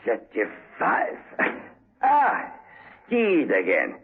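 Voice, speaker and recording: An actor playing a cultured, smug imprisoned villain in a 1970s radio drama, with measured, taunting politeness. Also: male voice, a little high, wildly swooping pitch, exaggerated voice. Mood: smug, savoring. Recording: muffled husky 1970s radio recording.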